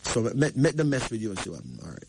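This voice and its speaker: A man's voice: mid-range voice, huge pitch swings, racing, background noise. A man hosting a show, giving an emphatic numerology reading and checking in with the caller again and again. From Barbados. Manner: emphatic, repeats "right?" to the caller. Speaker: radio host.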